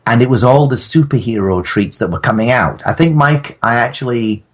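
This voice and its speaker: A man with a husky voice chatting as a podcast guest, telling rambling comic stories in halting, mock-formal, wordy phrasing. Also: medium pitch. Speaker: podcast guest, audio drama creator. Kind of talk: comic casual chat, rambling storytelling.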